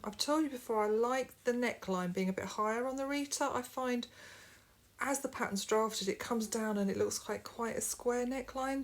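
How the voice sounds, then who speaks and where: Chatty woman, sewing tutorial. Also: medium pitch. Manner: friendly, rambling, explains step by step. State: sniffly from hay fever. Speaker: sewing vlogger. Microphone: camera mic.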